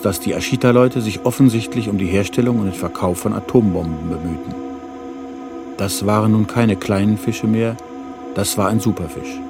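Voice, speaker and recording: A man's voice: on the low side, natural voice. A man playing the sober announcer of a radio crime serial, reading a scripted recap. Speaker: announcer and narrator. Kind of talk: scripted radio drama narration. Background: prominent music.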